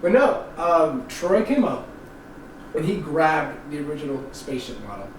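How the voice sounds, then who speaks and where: A young man telling stories in casual chat, animated and laughing often. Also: a little high, moderate pace, over a noisy crowd. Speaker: podcast co-host. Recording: echoey room, distant mic, background noise.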